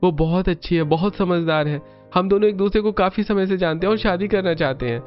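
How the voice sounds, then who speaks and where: A man's voice: sits high, fairly quick, pitched above the performer's own voice, close to an everyday voice. A man voicing a flustered, dramatic young man in a family cartoon, exclaiming and faltering. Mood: nervous. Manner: exclaiming, hesitant stammering when pressed. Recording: muffled audio over music.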